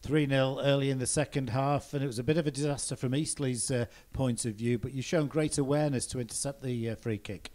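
A man's voice: medium pitch, steady tone, brisk, hardly any pauses, a little mellow. Man interviewing a footballer after a match. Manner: summarising play, hesitant fillers. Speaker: club TV interviewer. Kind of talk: post-match interview questions.

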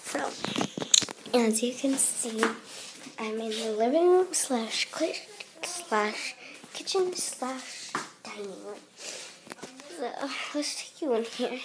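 A young girl walks through a small apartment with a phone in her hand, narrating in a slow, halting way as she points things out. Her voice sits a little high, and the recording picks up steady noise from the handheld phone. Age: child. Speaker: vlogger giving a home tour. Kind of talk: casual home tour narration. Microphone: handheld phone camera, moving.

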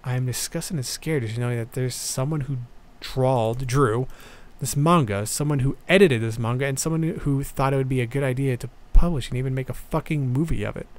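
A man's voice: medium pitch, a little fast. A man giving casual, sarcastic reaction commentary, reading text aloud and reacting with incredulous, sweary asides. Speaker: host of a manga review reaction video. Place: voice-over on a screen recording.

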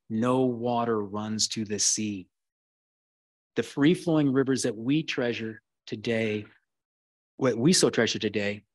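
A man giving a keynote history lecture, reading in a measured way with occasional restarts. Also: medium pitch, no echo. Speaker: keynote speaker.

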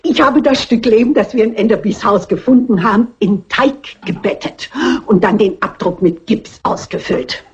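A woman's voice: medium pitch, moderate pace, few pauses, slightly rough. An actress playing a shrewd, self-assured elderly lady detective in a dubbed classic crime film, speaking precisely. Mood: matter-of-fact. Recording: dull vintage film soundtrack.

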